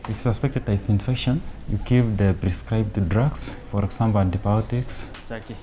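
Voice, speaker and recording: Calm low-voiced male nurse explaining. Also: moderate pace, a little hiss or hum. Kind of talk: explaining nursing care.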